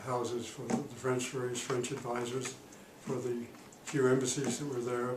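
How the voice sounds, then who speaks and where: A man in his eighties, a retired diplomat, recalling his career in an oral history interview, pausing often with fillers. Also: medium pitch, flat delivery. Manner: halting, many pauses and fillers. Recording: roomy, distant mic, faint noise.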